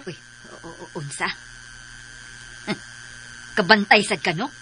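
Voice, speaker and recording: A woman's voice: medium pitch, takes it slowly, close to an everyday voice. An actress playing a distracted, bossy lady of the house with a Filipino accent in a radio drama. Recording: noisy radio drama recording.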